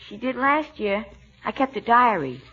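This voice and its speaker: A woman's voice: a little high, very animated, moderate pace, breathy edge. A woman playing a slangy, bashful but cagey schoolboy in a 1940s radio comedy. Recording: dull 1940s radio recording.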